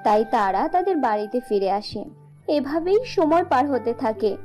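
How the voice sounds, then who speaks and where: A woman's voice: high voice, sing-song, measured pace, darkish tone, faint music behind. A woman narrating a scripted fairy tale for a children's cartoon. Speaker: storyteller, narrator.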